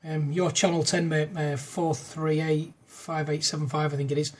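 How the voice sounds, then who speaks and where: Man with a higher-pitched voice. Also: flat delivery, normal speed. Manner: matey, uses radio jargon and call signs. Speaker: amateur radio operator making a demo video. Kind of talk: casual radio chat. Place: indoors at a desk in a front room.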